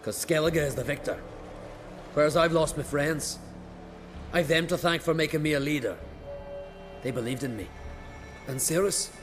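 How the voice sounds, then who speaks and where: Guy doing brash young island warrior for a game, voice on the higher side, clipped folksy talk, music behind. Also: moderate pace, pauses often, close to an everyday voice. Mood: rueful, earnest. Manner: clipped, hearty, folksy phrasing. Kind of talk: video game voice acting.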